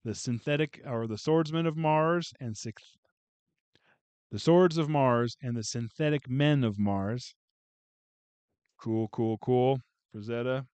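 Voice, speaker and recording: A man's voice: mid-range voice, slow, pauses often, darkish tone, clear, resonant tone, no room sound. Man, casual vlogger, joking asides, reading book titles, dry close sound. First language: English. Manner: chatty, joking asides to viewers. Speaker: booktube vlogger. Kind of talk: casual book haul chat.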